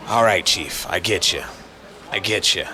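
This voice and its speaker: A man's voice: sits low, a little slow, sharp tone. A man voicing a determined, insistent New York police detective, urgent and streetwise, in a noir audio drama. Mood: resigned, firm.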